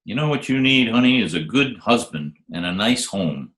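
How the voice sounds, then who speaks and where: A man playing a smooth, wisecracking hardboiled private detective in an old-time radio drama. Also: on the low side, lively intonation, moderate pace, fairly bright tone. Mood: soothing, steady. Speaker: lead actor and narrator. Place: home, remote video call recording. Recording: roomy, echoey remote home recording.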